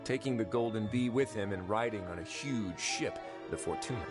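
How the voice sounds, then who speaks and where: Low-voiced, wry fortress warden. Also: male voice. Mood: storytelling. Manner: measured, suave, dryly amused. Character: composed, wry male prison fortress administrator. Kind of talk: video game character acting. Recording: game music playing behind the voice.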